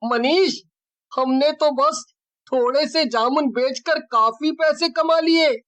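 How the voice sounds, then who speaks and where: Very high-pitched, earnest young sidekick acted by a man. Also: takes it slowly, a bit bright, heavily acted. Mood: admiring. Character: timid, cautious young village man, admiring sidekick friend. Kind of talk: cartoon story acting.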